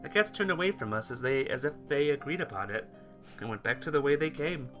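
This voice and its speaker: A man's voice: mid-range voice, lively intonation, fairly quick, fairly bright tone, soft background music. A man reading first-person visual novel narration aloud for a playthrough, stumbling over words and rereading them.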